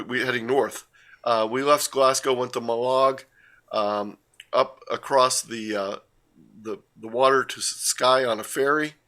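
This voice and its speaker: An older man tells a casual story over slides, wandering off track and correcting himself as he goes. His voice is bright and forward, at an ordinary middle pitch, heard over a video call. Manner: rambling, with frequent uh and self-corrections. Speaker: guest presenter.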